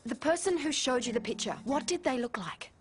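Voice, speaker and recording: A woman playing a brisk, practical fairy-tale police detective in a cartoon, questioning and no-nonsense. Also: high-pitched, lively intonation. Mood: inquiring.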